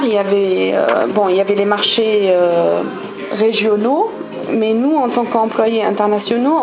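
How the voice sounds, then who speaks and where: Young woman, hesitant casual storyteller, lo-fi recording with background music. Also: mid-range voice. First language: German. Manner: hesitant, many fillers and restarts. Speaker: vlogger.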